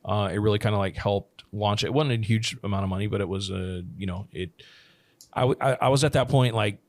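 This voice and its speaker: Low-voiced man rambling in a dry podcast. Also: a little fast, dry recording. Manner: rambling, many restarts and "you know". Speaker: production company owner. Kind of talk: casual podcast conversation. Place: living abroad, working from Thailand.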